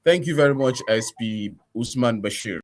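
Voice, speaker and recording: A man's voice: medium pitch, expressive, normal speed. A man with a Nigerian accent hosting a news interview on the radio, putting questions to a guest.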